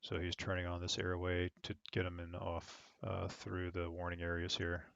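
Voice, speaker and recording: A man's voice: low-pitched, completely flat delivery, normal speed, gravelly, fairly bright tone, smooth, no echo, lo-fi sound. A man working as an online air traffic controller, switching between radio phraseology and casual explanatory commentary. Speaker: air traffic controller on a flight simulation network, streaming.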